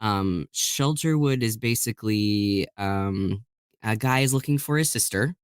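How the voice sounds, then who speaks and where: A man's voice: on the low side, a little slow, clear, resonant tone, dry recording, clean studio sound. A man talking casually and enthusiastically as a guest in an interview about his work as a voice actor. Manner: enthusiastic, chuckles while talking. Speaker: guest voice actor and audiobook narrator.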